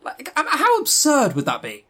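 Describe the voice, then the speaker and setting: A man co-hosting a podcast in casual chat, with a sarcastic, sweary, animated way of talking. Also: very high voice, normal speed. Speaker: podcast co-host. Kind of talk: casual chat reviewing visual novels.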